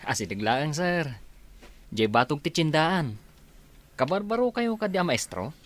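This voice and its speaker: Man acting as eager, high-voiced village labourer. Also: very animated, measured pace. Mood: curious, helpful. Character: eager, respectful village labourer helping a stranger. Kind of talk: Ilocano radio comedy-drama acting.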